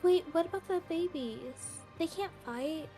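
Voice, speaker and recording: A woman voicing an excitable, anxious young girl, a devoted little sister, in an amateur Minecraft roleplay, flustered and stammering. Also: very high voice, a little slow, faint music behind. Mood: worried, subdued. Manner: flustered, stammering, repeats words.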